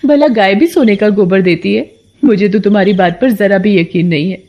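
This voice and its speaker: A woman's voice: mid-range voice, normal speed, dull audio, lightly acted. A woman voicing a sceptical, nagging village headman's wife in a cartoon folktale. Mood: mocking.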